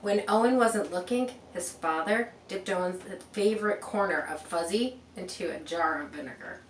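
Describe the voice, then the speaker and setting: A woman's voice: fairly low pitch, normal speed. A woman reading a picture book aloud to children, expressively, with chatty asides and rhetorical questions. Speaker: children's storybook reader. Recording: roomy, distant mic with faint background noise.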